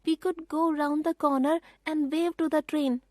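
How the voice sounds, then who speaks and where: Woman reading as a young boy, quick and businesslike, higher than her own voice, dry close sound. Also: steady tone, no room sound, close to an everyday voice. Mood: urgent. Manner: urgent, prompt, decisive.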